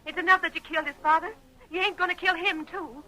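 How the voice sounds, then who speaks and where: An actress playing an earnest, pleading young American frontier bride with rustic speech in 1930s radio style. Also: female voice, extremely high pitch, fairly even intonation, fast, pushed and bright, rough recording. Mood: defiant, hurt. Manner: earnest, emotional, rustic grammar. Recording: vintage 1930s radio recording.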